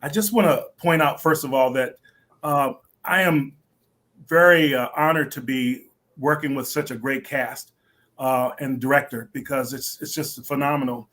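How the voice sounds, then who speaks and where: A middle-aged man giving interview answers as a stage actor, speaking earnestly and politely in halting phrases. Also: mid-range voice, fairly bright tone.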